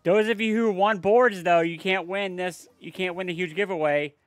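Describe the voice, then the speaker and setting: A man hosting a casual livestream giveaway, chatting with viewers and family in quick bursts. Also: sits high, steady tone, no echo, soft background music. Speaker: livestream host running giveaways.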